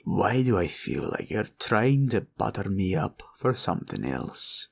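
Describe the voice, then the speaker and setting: A man playing a proud, cunning green dragon in a villain roleplay audio, with a taunting drawl and a gravelly growl. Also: lowish voice, sing-song, measured pace, exaggerated voice. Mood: amused, scornful. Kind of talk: roleplay ASMR villain monologue.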